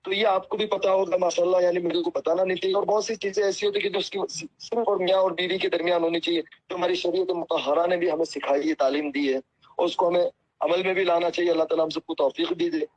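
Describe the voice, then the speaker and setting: Man, earnest and apologetic, husky voice, lo-fi recorded phone call. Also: sits high, steady tone, quick pace, darkish tone, no echo. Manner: humble, apologetic and earnest, pleading at length. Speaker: cleric answering a phone call. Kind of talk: phone conversation.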